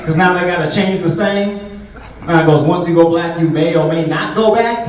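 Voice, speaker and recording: A young man performing a stand-up comedy set in a relaxed, conversational way, full of filler words. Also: on the high side, moderate pace. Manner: laid-back, conversational, frequent filler words. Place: comedy show venue with a live audience. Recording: echoey room, distant mic, audible noise.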